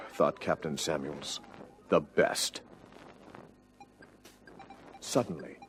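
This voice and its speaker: A man narrating a scripted adventure story in a dramatic storytelling style. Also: fairly low pitch. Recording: music bed under voice. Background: music.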